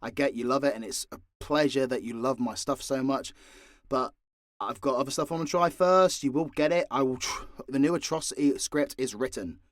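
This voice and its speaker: A man's voice: mid-range voice, a little fast, dry recording, studio quality. A man speaking off the cuff as an audio drama creator answering listeners, blunt and sweary with a theatrically exasperated manner. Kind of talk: unscripted Q&A monologue to listeners.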